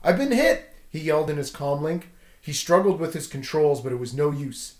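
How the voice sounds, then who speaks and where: A man's voice: on the high side, fairly quick, darkish tone. A man reading a children's adventure story aloud in a dramatic storytelling style, emphasizing the dialogue. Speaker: storybook read-aloud host. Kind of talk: scripted children's story narration. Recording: roomy echo.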